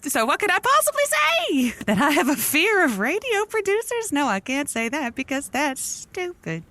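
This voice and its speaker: A woman's voice: sits high, very animated, normal speed, sharp tone, full character voice. An actress playing a nervous, folksy woman with a theatrical streak in a scripted audio comedy. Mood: awkward, evasive. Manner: folksy, playful, theatrical delivery with homespun sayings. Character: nervous folksy woman who doubles as theatrical love-advice radio cowgirl host.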